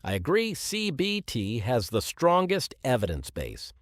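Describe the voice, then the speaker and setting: Male actor as authoritative professor, critical and precise, highly varied intonation, dry close sound. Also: huge pitch swings, measured pace, no room sound. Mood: measured, approving. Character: male professor supervising students, exacting and measured. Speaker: academic supervisor. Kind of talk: scripted exam listening dialogue.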